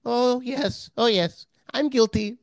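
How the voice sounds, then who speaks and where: A woman's voice: fairly high pitch, slow, vocal fry, a little mellow, dry recording, studio quality. A woman playing a timid, eager middle-aged male prisoner in a radio drama, stammering and ingratiating. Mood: nervous, confiding.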